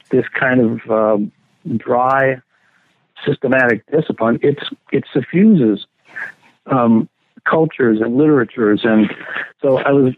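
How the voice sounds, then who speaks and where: A man's voice: mid-range voice, no room sound. Scholarly man on a tinny phone line. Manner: thoughtful, reminiscing, unhurried storyteller. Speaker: scholar interviewee. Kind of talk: reflective interview answers.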